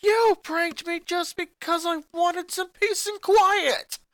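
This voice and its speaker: A high, furious eleven-year-old boy. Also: fairly even intonation, slow pace, dry recording, clean studio sound, heavily acted. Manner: ranting, accusing, voice rising to shouts. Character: angry eleven-year-old boy, fed up with his sisters. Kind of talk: fanfic reading.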